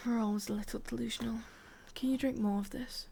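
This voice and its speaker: A woman with an English accent playing a lovelorn, obsessive immortal witch in a breathy, intimate whispered ASMR roleplay. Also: fairly high pitch, steady tone, a little hiss or hum. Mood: worried, wistful, then gently coaxing. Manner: slow, hushed, intimate delivery with pauses.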